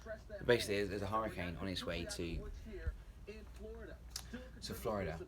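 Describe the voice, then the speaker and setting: A man's voice: low voice, fairly even intonation, normal speed, crowd noise. A man working as cruise ship crew, talking casually to camera and rambling through restarts and fillers. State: rough voice just after a nap. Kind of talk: casual vlog talk to camera.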